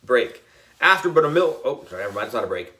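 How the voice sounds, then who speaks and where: Male children's audiobook reader: playful and exaggerated, very lively pitch, bright delivery. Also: fast. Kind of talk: animated children's story narration.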